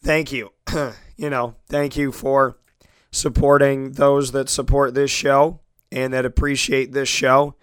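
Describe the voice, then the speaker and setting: A man's voice: mid-range voice, level tone, takes it slowly, fairly bright tone, smooth, dry recording, clean studio sound. A man hosting his own sports talk show while losing his voice, speaking earnestly and gratefully with many restarts. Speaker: sports talk radio host. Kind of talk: solo internet radio show monologue.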